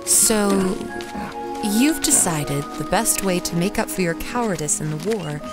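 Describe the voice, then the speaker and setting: A woman playing a blunt, animated female adventurer. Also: on the low side, measured pace, over loud music. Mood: blunt, exasperated. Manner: dry, blunt, incisive. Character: sharp-witted, blunt female archaeologist adventurer. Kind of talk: audio drama acting.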